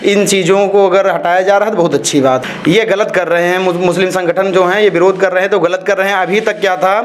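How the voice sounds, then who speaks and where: A man speaks in a notably high voice. He argues his opinion emphatically, repeating phrases and firing rhetorical questions as in an interview. Faint ambient noise sits behind him. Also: fairly even intonation, fast talker. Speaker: interviewee, social activist. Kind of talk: opinionated street-interview talk.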